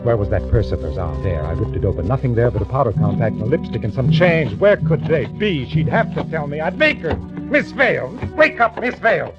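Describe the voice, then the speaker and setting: An American actor playing a desperate, nervy swindler turned killer, confessing in a tense, dramatic 1940s radio thriller style. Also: male voice, medium pitch, sing-song, brisk, hardly any pauses, dull audio. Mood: panicked, then frantic, raging. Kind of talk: 1940s radio thriller acting, first-person narration. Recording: old band-limited radio recording with music.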